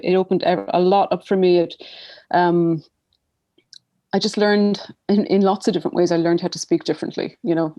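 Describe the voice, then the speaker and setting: A woman's voice: lowish voice, mellow. An Irish woman in her forties, a writer reflecting in an interview, thoughtful and full of restarts. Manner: thoughtful, many fillers and restarts. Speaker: writer, guest. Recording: dull lo-fi audio.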